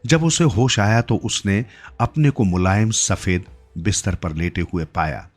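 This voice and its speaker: A man's voice: on the low side, measured pace, darkish tone, soft background music. A man narrating a scripted literary story as a storyteller.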